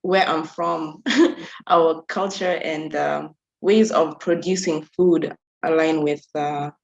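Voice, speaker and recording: A woman's voice: sits low, steady tone, slow, vocal fry, poor audio. A woman from Kenya, a youth workshop facilitator, speaking on a panel discussion, laughing often and restarting her sentences.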